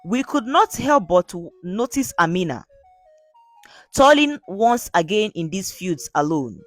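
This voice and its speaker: A woman's voice: lowish voice, very animated, unhurried, no echo, soft background music. A woman voicing a well-meaning, apologetic village helper in a folktale, formal and earnest.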